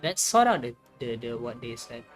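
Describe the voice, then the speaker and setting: A woman's voice: very deep voice, big pitch swings, normal speed. A woman giving casual gameplay commentary and reading aloud, often amused and tripping over repeated words. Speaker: streamer playing a story game. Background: game music.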